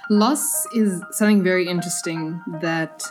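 Young woman host from South Africa, fairly low voice, reflective and earnest. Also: steady tone, moderate pace, soft background music. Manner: reflective and earnest, speaks at length. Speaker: podcast host. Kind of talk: casual interview conversation.

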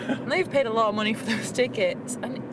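A woman's voice: a little high, brisk. A young woman, a teacher chatting casually in a car and laughing often. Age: young adult. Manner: laughs often, animated storytelling. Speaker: podcast co-host, teacher. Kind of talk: casual chat. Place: inside a car. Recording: noisy car interior.

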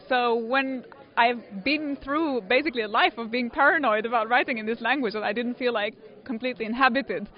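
French native lady talking earnest, feeling for words, muffled sound. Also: mid-range voice. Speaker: author. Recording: dull audio.

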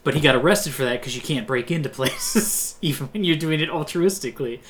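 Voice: medium pitch, fairly quick, slight background noise. A podcast co-host chatting casually about a film, telling the story of a first viewing and acting out past thoughts along the way. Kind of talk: casual movie review chat.

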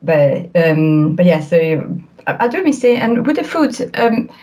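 Low-voiced Italian woman, hesitant. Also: big pitch swings, measured pace, warm tone. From northern Italy. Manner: hesitant, many fillers and restarts. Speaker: photographer guest. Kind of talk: casual interview chat.

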